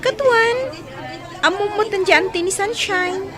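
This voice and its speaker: A woman's voice: very high voice, slow pace, a crowd in the background. A woman playing a chatty, teasing village friend in an Ilocano radio drama, playful and nosy.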